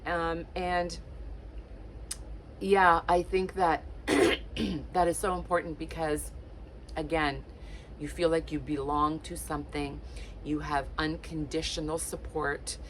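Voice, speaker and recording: Late-50s woman from portugal vlogging, lowish voice, bit of hum in the room. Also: level tone, slow pace, pauses often, poor audio. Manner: chatty, warm-hearted encouragement with many fillers. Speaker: lifestyle vlogger. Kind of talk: casual chat to camera.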